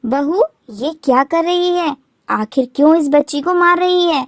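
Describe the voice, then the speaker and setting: A woman voicing a caring elderly mother-in-law bird in a moral-story cartoon, expressive and gently chiding. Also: high-pitched, measured pace, dry recording, studio quality. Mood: pleading, firm.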